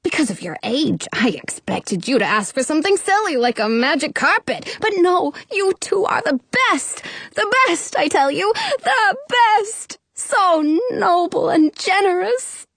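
A woman voicing an obnoxious old male genie in a storybook, with a theatrical, excitable manner. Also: very high-pitched, a little slow, dry recording, pitched above the performer's own voice, heavily acted. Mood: tearful, gushing gratitude. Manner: gruff, sarcastic, theatrical exclamations. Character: grumpy, obnoxious old male genie turning gushingly joyful.

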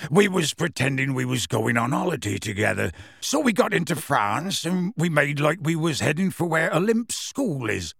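A huge, gruff half-giant gamekeeper tells his tale. The voice is husky and rough, swinging freely in pitch, with folksy, unhurried phrasing. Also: fairly bright tone, no room sound, studio quality, exaggerated voice. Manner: folksy, ungrammatical, unhurried storytelling. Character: huge gruff half-giant gamekeeper, rustic, warm-hearted, plain-spoken. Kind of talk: audiobook character voice.

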